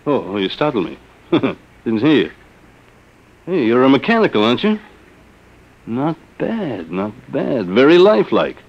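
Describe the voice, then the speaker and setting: A middle-aged American man blusters his way through a 1950s radio play. He is gruff and suspicious, with very animated pitch. The recording is muffled and vintage. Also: a little slow, long pauses. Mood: surprised, amused. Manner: blustery, exasperated. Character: gruff, suspicious family man who sells mechanicals. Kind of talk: 1950s radio drama acting.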